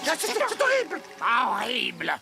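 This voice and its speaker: High, stammering cartoon animal. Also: female voice, huge pitch swings, unhurried, vocal fry, heavily acted. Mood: fearful. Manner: stammering, repeating words. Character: timid, huffy talking forest animal. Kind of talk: animated series voice acting. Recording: music behind the voice.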